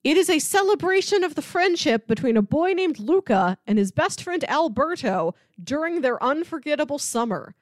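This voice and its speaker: High-voiced, lively woman chatting enthusiastically. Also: normal speed, few pauses, no room sound, clean studio sound. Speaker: podcast co-host. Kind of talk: casual chat.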